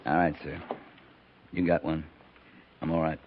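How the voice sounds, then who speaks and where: A man's voice: very deep voice, flat delivery, a little slow. A man acting a tough, principled, guilt-ridden American cavalry captain, middle-aged and blunt, in 1950s radio drama style. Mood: resigned, dry. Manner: terse, commanding. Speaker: actor. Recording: muffled, noisy 1950s radio recording.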